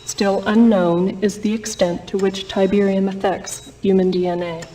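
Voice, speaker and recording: A woman's voice: medium pitch, level tone, moderate pace, dark tone, lightly acted. A slightly distant recording with faint noise carries a woman playing a female briefing announcer for a military game. She is formal and detached, delivering scripted research findings with clinical neutrality.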